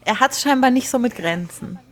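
A woman chatting and commenting casually in a relaxed group discussion. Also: fairly high pitch, normal speed.

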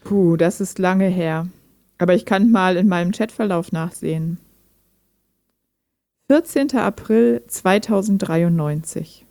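A woman playing a gentle, guarded chatbot that talks like a human in an intimate radio play, thoughtful and understated. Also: fairly low pitch, a little slow, vocal fry, dry recording, clean studio sound. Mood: pensive.

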